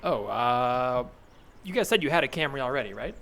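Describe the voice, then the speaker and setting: A man's voice: medium pitch, animated, moderate pace, gravelly, a bit bright. A man playing an awkward, flustered car salesman in improvised comedy, hesitant and eager to oblige. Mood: accommodating. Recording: podcast with faint background noise.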